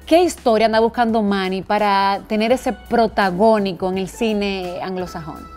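A woman in her thirties hosting a TV interview, asking clear probing questions into a lapel mic in a studio. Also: mid-range voice, normal speed, a little mellow, soft background music. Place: indoor TV studio set with sofa.

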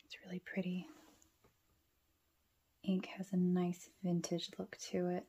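A woman with a soft, breathy voice, murmuring and thinking aloud through a casual craft demonstration. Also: fairly low pitch, monotone, unhurried. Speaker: journaling vlogger.

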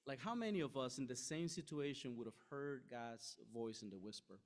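Young male preacher, conversational and animated, acts out quotes; church hall, podium mic. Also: medium pitch, moderate pace. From Costa Rica. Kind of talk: sermon. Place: large church hall with stage.

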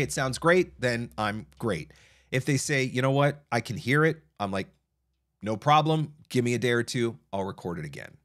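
A man's voice: mid-range voice, fairly bright tone. A man in his thirties hosting a live voiceover advice stream, answering chat questions in a conversational way with fillers and restarts, close to a studio mic in a small home studio. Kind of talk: casual advice livestream. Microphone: broadcast mic on arm, close.

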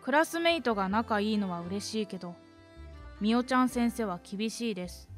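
A woman's voice: on the high side, lively intonation, moderate pace, soft background music, lightly acted. Woman playing a brash young schoolboy. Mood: matter-of-fact pride. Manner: blunt, boyish, defensive. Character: brash, cocky sixth-grade schoolboy, blunt but good-hearted. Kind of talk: voice drama acting.

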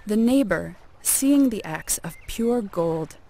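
A woman narrating a folk tale as a storyteller, in a measured and expressive storybook delivery. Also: medium pitch, vocal fry, a little hiss or hum.

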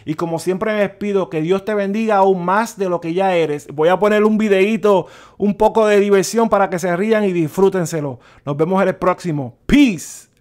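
Brief: middle-aged male finance host, high voice, stammers and repeats words, casual explainer. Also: normal speed, darkish tone. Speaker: personal finance channel host. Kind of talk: casual explanatory talk over a spreadsheet. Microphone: voice-over on a screen recording.

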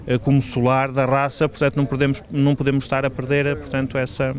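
A man's voice: medium pitch, monotone. A man, speaking hesitantly in an outdoor interview as an association president. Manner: hesitant, halting delivery. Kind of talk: on-site TV interview statement. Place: outdoors in a village square. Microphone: handheld interview microphone. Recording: busy crowd chatter behind.

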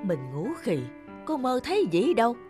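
A sly, fat old neighbour from a cartoon folktale acted out by a woman. He is lazy and grumbles, scheming and blustering over a clear music bed. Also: a little high, very animated, normal speed, voice pushed up. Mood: smug, scheming. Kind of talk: cartoon folktale character acting.

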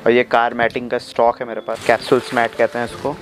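A man's voice: medium pitch, monotone, fast, a little hiss or hum. A man in his thirties, a wholesale supplier, walking through his warehouse and listing products in a matter-of-fact way. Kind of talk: product walkthrough. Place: indoor warehouse.

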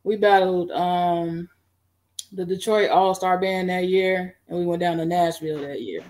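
A middle-aged woman on a video call, recounting her band history in a relaxed, rambling way with many fillers. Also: lowish voice, unhurried. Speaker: guest band musician. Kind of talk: casual interview. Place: home room.

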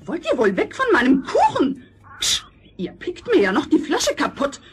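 A woman's voice: high voice, wildly swooping pitch, normal speed, background noise. An actress playing a spiteful, envious, greedy girl in a fairy-tale radio play, scornful and whiny. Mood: irritated, shooing.

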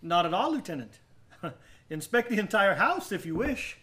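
An actor in a radio drama playing an over-polite, nervous murderer with a genteel, halting way of talking. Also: male voice, sits high, big pitch swings, bright, forceful tone, heavily acted. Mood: nervous politeness. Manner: genteel, overly courteous, halting. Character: nervous, over-polite male murderer hiding guilt from police.

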